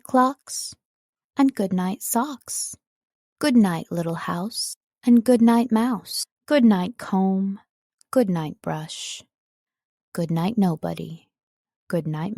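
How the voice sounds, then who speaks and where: A woman reads scripted fiction as an audiobook narrator. Her delivery is slow and expressive, with a creaky edge at a middle pitch. The sound is close and dry. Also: dry recording. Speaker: audiobook narrator, voice-over artist.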